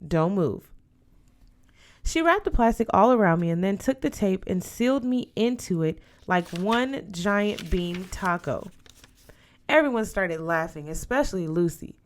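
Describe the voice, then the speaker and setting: A woman reading a first-person story aloud as an expressive scripted narration. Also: mid-range voice, normal speed. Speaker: audiobook reader.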